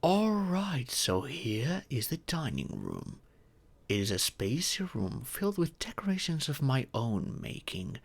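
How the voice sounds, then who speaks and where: Male actor as stately, kindly dragon parent for audio roleplay: formal diction, unhurried delivery, expressive swings in pitch. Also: gravelly, heavily acted. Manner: formal, measured, theatrical, unhurried. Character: gentle, stately dragon adopting a sacrificed child. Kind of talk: audio roleplay addressed to the listener.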